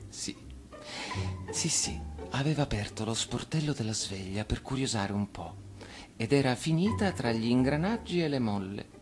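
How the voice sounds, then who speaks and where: A man reading a children's tale as storyteller, in an expressive scripted narration. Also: medium pitch, normal speed, faint music behind.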